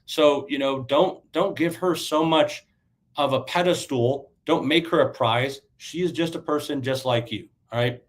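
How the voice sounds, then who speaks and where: A man in his thirties, stuffy and a little raspy from a sinus infection, giving loose conversational dating advice as a coach and often checking in with "right?". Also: a little high, unhurried. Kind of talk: casual livestream coaching talk.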